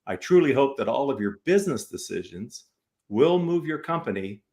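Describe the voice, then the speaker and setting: An American man works as a talk show host in a conversational business interview style. His voice sits at a medium pitch. He is affirming and complimentary toward his guests. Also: sing-song, normal speed, a little mellow, slight background noise.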